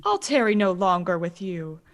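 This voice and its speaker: A woman's voice: lowish voice, animated, moderate pace. A woman playing a melancholy, sardonic cynic in a Shakespearean radio play, dry and disdainful. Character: melancholy, sardonic cynic who rails at the world.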